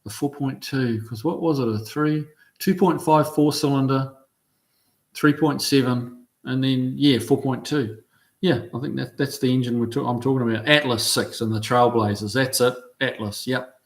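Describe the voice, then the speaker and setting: A middle-aged New Zealand man talks through viewer comments on his livestream in an easygoing, halting way. He trips over his words and restarts often. His voice sits in an ordinary middle pitch for a man. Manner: relaxed, halting with many ums and restarts. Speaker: livestream host reading viewer chat. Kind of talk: casual chat.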